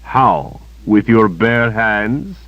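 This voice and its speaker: A male narrator playing a hateful villain swordsman who taunts slowly and gloats. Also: on the low side, animated, no room sound, lo-fi sound, voice pushed up. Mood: gloating.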